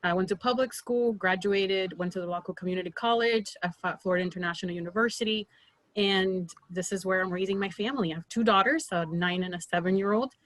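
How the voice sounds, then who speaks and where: A woman in her thirties, from Peru, making an earnest campaign pitch on a video call with many fillers and restarts. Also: quick pace, a bit bright, no echo, low-quality recording. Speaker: political candidate.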